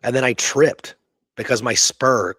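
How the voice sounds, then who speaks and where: A man's voice: mid-range voice, a little slow, bright, forceful tone, breathy edge, dry recording. Casual man talking history, dry close sound. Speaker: podcast co-host presenting history research.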